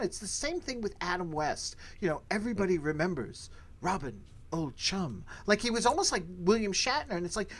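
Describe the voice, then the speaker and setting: A man hosting a podcast, giving his opinions in a casual, emphatic way and repeating phrases for stress. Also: high-pitched. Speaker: podcast host.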